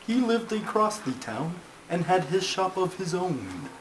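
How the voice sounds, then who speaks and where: A man's voice: sits high, very animated, a little slow. A man voicing a pompous, schoolmasterly turtle puppet who tells stories in a ponderous, formal, easily put-out way. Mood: grave storytelling. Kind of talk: comic scripted storytelling. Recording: noisy amateur home recording.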